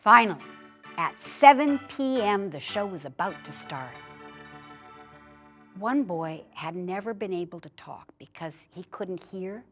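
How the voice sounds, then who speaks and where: A woman reading a personal childhood memoir aloud in a measured storytelling way. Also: medium pitch, animated, a bit bright, soft background music. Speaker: story reader.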